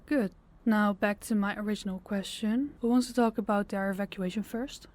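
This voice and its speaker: A woman's voice: mid-range voice, steady tone, normal speed, darkish tone, natural voice. A woman playing a composed, commanding crime boss in a scripted audio drama, businesslike and authoritative. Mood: businesslike, firm. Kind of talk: scripted audio roleplay acting.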